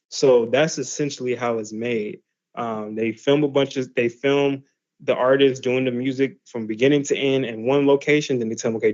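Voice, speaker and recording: A man's voice: medium pitch, darkish tone, muffled recording. A man teaching a class in a loose, conversational way, full of fillers and restarts. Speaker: class instructor. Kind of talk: casual explanatory lesson.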